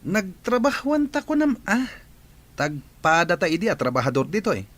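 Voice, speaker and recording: A man's voice: high-pitched, big pitch swings, normal speed, fairly bright tone, heavily acted. An actor in a radio drama playing a smug man who has risen to manager.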